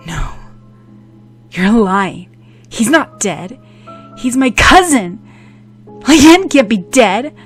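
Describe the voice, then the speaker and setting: Female reader as an anguished, headstrong boy in an audiobook drama, pitched up and loud. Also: big pitch swings, very slow, long pauses, husky, soft background music, heavily acted. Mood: anguished denial. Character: young boy, grief-stricken, defiant, shouting at his elders.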